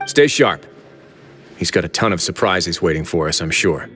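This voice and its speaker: An actor playing a calm, commanding adult male prison warden in a video game, measured and composed. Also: low-pitched. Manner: measured, composed, quietly authoritative. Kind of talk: video game cutscene voice acting. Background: game music soundtrack.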